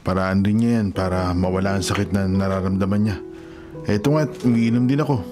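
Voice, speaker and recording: A loyal young Filipino sidekick played by an actor in a radio drama. He has a lowish voice, earnest and conciliatory, with guilt weighing on him. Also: fairly quick, music in the background, close to an everyday voice. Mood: guilty, placating. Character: loyal, guilt-ridden young man, hero's cousin.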